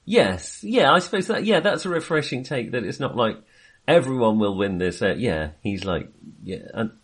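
A man's voice: mid-range voice, very animated, normal speed, slightly rough. A man co-hosting a film podcast, chatting casually in a hesitant, wandering way with self-amused asides.

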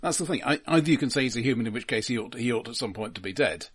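A husky-voiced man of medium pitch talks through films as a podcast co-host. His delivery is analytical but casual, halting and doubling back on words as he builds his argument. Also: animated. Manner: halting, repeats words as he argues. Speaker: film discussion podcast co-host. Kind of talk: casual analytical film discussion.

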